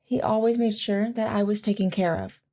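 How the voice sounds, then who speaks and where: A devoted military wife, played by a woman in an audio drama, reminiscing about her husband. Her voice is warm and mellow at an average pitch for a woman. She tells it gently, with wistful tenderness. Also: moderate pace, natural voice. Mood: fond, reflective.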